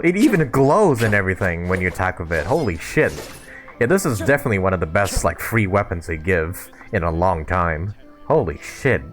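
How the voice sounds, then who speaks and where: A man with a fairly low voice chats through a video game as he plays it. He is casual, slangy and joking in his commentary, with faint game music underneath. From Canada. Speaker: gaming YouTuber. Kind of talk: casual let's-play commentary.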